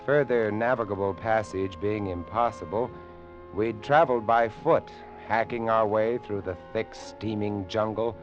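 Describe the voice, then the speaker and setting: Radio-play adventurer-narrator, low-leaning voice, intense and deliberate, muffled vintage broadcast. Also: male voice. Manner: dramatic, deliberate storytelling. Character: adventurer narrating a grim jungle and mountain expedition. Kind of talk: 1940s radio drama narration. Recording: dull old radio recording, music behind.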